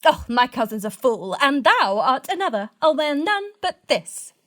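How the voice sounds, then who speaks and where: An actress playing a sweet, excitable young noblewoman in a Shakespeare radio play, girlish in manner. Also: sits high, moderate pace. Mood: excited, fretful.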